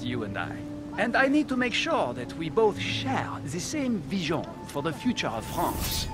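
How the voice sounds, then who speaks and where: An actor playing a haughty, condescending French aristocrat in formal, dramatic video game dialogue. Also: male voice, on the high side. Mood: probing, formal. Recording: music behind the voice.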